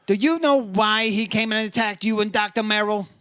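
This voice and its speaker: A man acts out a brisk insurance investigator from an old radio detective play. The voice is high and bright, with clipped, businesslike delivery in both narration and questioning. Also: smooth, dry recording, studio quality. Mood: concerned, probing. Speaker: actor playing the lead investigator. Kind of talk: 1950s radio detective acting, scripted narration.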